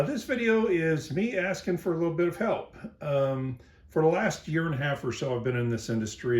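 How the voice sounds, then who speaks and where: A middle-aged man with a low voice, tired after a long day, talking plainly to camera about the things he has for sale, with many ums and uhs. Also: a little mellow. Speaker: small airgun parts maker. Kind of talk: casual sales talk to camera.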